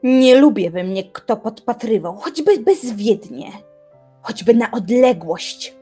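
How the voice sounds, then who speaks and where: An actress playing an obsessive, indignant young reclusive philosopher in a radio drama, brooding aloud with dramatic deliberation. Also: female voice, on the high side, expressive, slow pace, dark tone, slightly rough, exaggerated voice. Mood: cold, determined. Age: young adult. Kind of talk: theatrical radio drama monologue. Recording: music behind the voice.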